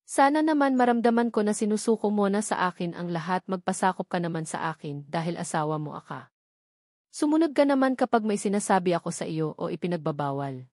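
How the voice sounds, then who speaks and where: A woman's voice: lowish voice, very animated, brisk, a little mellow, no room sound. Filipino woman doing a hurt loving husband in a romance audiobook, pleading and earnest, dry close sound.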